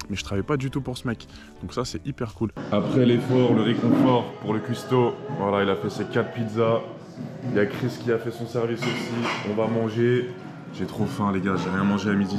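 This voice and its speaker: A man from Lyon with a French accent from France, chatting casually and enthusiastically to camera in slangy, informal vlog style. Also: on the low side. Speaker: vlogger.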